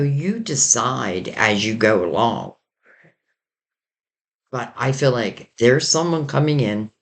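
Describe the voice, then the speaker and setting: A man giving a rambling, casual tarot reading while losing his voice and sounding hoarse. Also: medium pitch. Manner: rambling, loose and intuitive, many "like" and "you know". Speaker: tarot reader.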